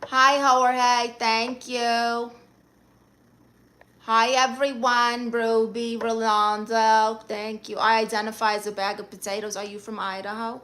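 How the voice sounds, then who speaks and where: A woman runs her own live stream, greeting viewers one by one and tossing off sassy, joking replies. Her voice is bright and forceful, set a little high for a woman. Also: level tone. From Brooklyn, New York. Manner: sassy, playful, quick comebacks. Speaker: livestreamer. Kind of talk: casual live-stream chat, reading out viewer comments.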